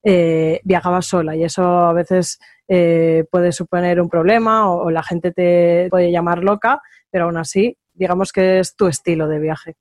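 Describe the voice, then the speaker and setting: A woman's voice: lowish voice, steady tone, a little slow, a little mellow, no echo. A woman hosting a conversational interview, putting questions to her guest and commenting on the answers. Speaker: interviewer, host.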